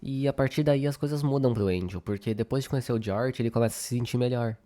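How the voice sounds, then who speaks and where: A man's voice: medium pitch, fast talker. A teenage young man with a Brazilian accent, giving casual explanatory commentary as a video essayist. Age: teens. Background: prominent music.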